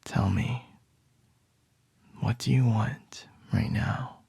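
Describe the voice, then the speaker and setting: A man doing an ASMR roleplay as a boss, speaking in a slow, teasing, intimate whisper close to the microphone. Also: medium pitch, level tone, gravelly, warm tone, breathy edge, no room sound.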